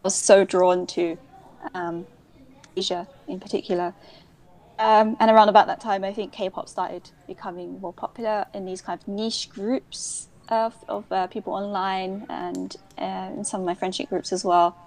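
A woman's voice: mid-range voice, steady tone, normal speed, a little mellow. A young adult woman, chatting as an interview guest in a rambling, digressive way with many restarts. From United Kingdom. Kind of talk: casual interview chat.

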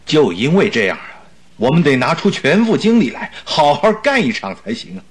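An actor playing an eager, talkative doctor and detective's sidekick in a radio drama, exclaiming theatrically. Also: male voice, a little high, very animated, moderate pace, slightly rough. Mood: earnest. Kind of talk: Mandarin radio drama acting.

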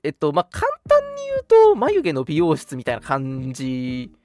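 若い男性がラジオの司会として、からかい混じりのツッコミを入れながら気軽に雑談している。. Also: male voice, medium pitch, wildly swooping pitch, moderate pace, no echo, clean studio sound, faint music behind. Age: young adult. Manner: playful, teasing retorts. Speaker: radio show host. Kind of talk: casual chat.

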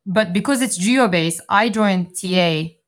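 A woman's voice: medium pitch, a little slow. A woman from Turkey, a venture capital partner, giving structured, articulate answers in an interview. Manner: articulate, structured explanations with frequent "so" and "you know".

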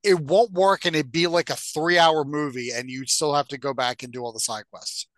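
A man's voice: on the high side, steady tone, normal speed, a bit bright, dry recording. A man chatting casually and informally as a podcast co-host. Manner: informal, conversational. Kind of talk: casual chat about video game movies.

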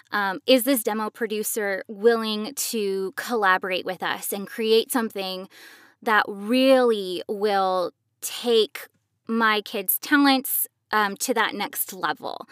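A woman co-hosting an instructional podcast, explaining in a measured, emphatic, conversational way. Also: mid-range voice, a bit bright, smooth, no room sound, studio quality. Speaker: podcast co-host, professional voice actor.

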